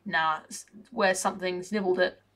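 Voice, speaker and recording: A woman voicing a gruff, cheeky old male servant who talks bluntly and colloquially. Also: on the low side, steady tone, moderate pace, a bit bright, close to an everyday voice.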